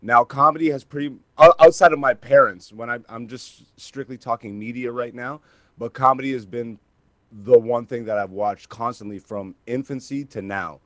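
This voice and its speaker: A man in his thirties with a mid-pitched voice. He is a comedian guest who talks casually and animatedly, peppering stories with filler phrases, in a close dry recording. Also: normal speed, a bit bright, dry recording. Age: 30s. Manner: informal, peppered with like and you know. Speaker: stand-up comedian, interview guest.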